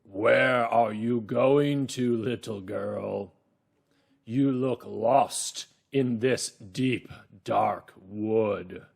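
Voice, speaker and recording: A man voicing a mean, sly big bad wolf with a rough voice and a menacing drawl, for a children's storybook reader's theater. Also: on the low side, very slow, exaggerated voice.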